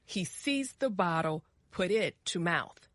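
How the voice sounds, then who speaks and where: A woman narrating a short story for English learners with deliberate, careful phrasing. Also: lowish voice, lively intonation, fairly bright tone, no echo, clean studio sound.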